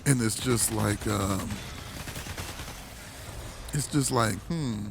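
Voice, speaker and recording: A man with a hoarse voice, recovering from illness, giving a casual, rambling game review full of restarts and laid-back slang. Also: lowish voice, drawn-out words, noisy background.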